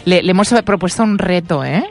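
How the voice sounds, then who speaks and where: A woman's voice: on the low side, a little fast, faint music behind. A woman with a Catalan accent hosting a radio health show, chatting casually and laughing often. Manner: bubbly, laughs often, trails off.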